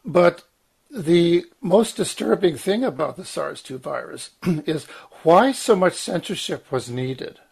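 A man in his sixties, croaky from medication, giving an earnest, emphatic talk with frequent ums, restarts and throat-clearing. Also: fairly high pitch, lively intonation, takes it slowly. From Lower Mainland, Canada. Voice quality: husky, clears throat often. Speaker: guest speaker, former journalist. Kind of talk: long spoken commentary, guest talk.

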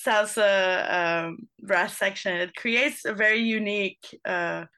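A woman's voice: mid-range voice, steady tone, takes it slowly, pushed and bright, smooth. A woman trumpet player answering interview questions, searching for words and often restarting her sentences.

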